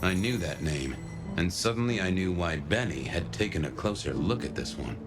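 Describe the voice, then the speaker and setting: A noir detective in a video game, low-voiced and laconic. He talks in colloquial, drily humorous turns, like a hardboiled narrator, over clearly audible music. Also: expressive, moderate pace. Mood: brooding, wry. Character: wry, folksy noir police detective with dry humour.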